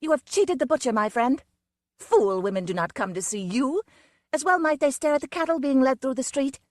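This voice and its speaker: A woman's voice: sits high, lively intonation, brisk, no room sound, clean studio sound, pitched above the performer's own voice. A woman voicing a savage, snarling circus wolf-woman who speaks in a biting, mocking way.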